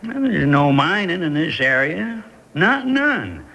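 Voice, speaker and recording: A man's voice: fairly high pitch, big pitch swings, takes it slowly, vocal fry, bright, forceful tone, muffled recording, heavily acted. A man playing a shrewd, suspicious sheriff in a radio western, with a folksy rural Western American accent. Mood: musing suspicion. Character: shrewd, suspicious male Old West sheriff. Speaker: actor. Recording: noisy vintage radio broadcast.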